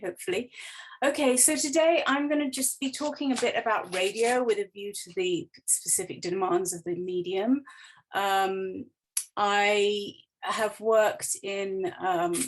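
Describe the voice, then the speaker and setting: A woman's voice: medium pitch, rough recording. Woman giving an informal explanatory talk. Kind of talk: informal explanatory presentation over slides. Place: online video call.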